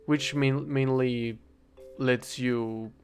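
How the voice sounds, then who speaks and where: A young man with a Spanish accent, a native Spanish speaker, rambling in a casual, halting monologue while he plays a game and keeps correcting himself. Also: medium pitch, fairly even intonation, soft background music. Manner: halting, self-correcting, thinking aloud. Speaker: vlogger.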